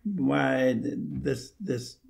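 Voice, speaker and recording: A middle-aged man chatting casually, halting and searching for words as he repeats himself. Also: mid-range voice, lively intonation, creaky. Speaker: guest guessing about characters. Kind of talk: casual unscripted chat.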